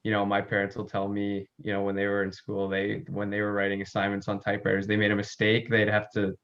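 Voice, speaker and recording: Monotone young man, muffled lo-fi sound. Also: on the low side, quick pace. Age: young adult. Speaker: podcast co-host. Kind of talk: casual chat. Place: at home.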